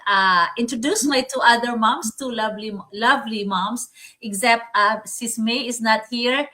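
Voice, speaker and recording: A woman's voice: fairly high pitch, moderate pace, bright, forceful tone. A woman hosting a casual online talk, often repeating her phrases as she goes. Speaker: host. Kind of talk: casual online talk, introducing topic and guests.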